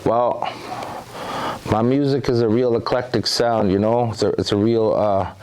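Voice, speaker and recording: A man's voice: medium pitch, steady tone, normal speed, a little mellow, clear, resonant tone. A man from the Bahamas, a rapper, talking about his music in a loose, laid-back way. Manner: laid-back, loose, often says "you know". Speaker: rapper guest. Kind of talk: casual interview talk.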